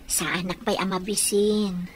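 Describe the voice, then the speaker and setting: A woman's voice: mid-range voice, steady tone, unhurried, a little hiss or hum, natural voice. An actress with an Ilocano accent playing a plaintive young mother in a radio comedy drama. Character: young pregnant wife and daughter, plaintive.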